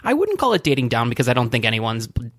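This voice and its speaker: A man in his early thirties co-hosting a podcast in casual chat, candidly telling dating stories and dropping quick jokes. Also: mid-range voice, wildly swooping pitch, fairly bright tone, dry recording, studio quality. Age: early 30s. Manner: quippy, laughing, candid storyteller. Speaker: podcast co-host.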